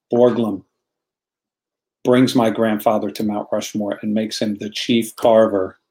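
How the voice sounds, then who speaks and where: A man's voice: fairly low pitch, darkish tone, poor audio. An American man, a guest in a casual online chat, telling a story earnestly and repeating words as he searches for them. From United States.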